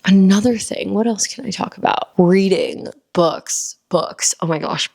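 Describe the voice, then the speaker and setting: A woman's voice: mid-range voice, a little slow, vocal fry, a little mellow. Chatty young woman rambling casually. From Miami, Florida. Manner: chatty, rambling, with frequent ums and likes. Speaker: podcast host. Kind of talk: casual solo chat.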